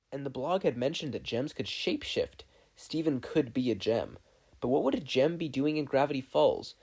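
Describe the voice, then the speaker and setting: Story narrator, mid-pitched, husky, mellow, lively intonation, expressive scripted reading. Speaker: podfic narrator.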